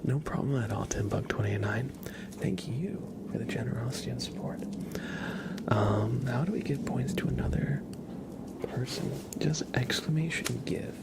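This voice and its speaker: An ASMR livestream host of unclear sex speaking gently and politely to viewers in a breathy, creaky whisper, close to the mic. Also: mid-range voice, heavy background noise. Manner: slow, gentle, polite, thanking and welcoming viewers. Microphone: close sensitive ASMR microphone.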